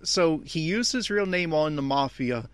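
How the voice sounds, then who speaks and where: A man giving opinionated, complaining episode commentary as a host, with emphatic, halting phrasing. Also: on the high side, lively intonation, smooth, no echo. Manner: exasperated, complaining. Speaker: fan podcast host.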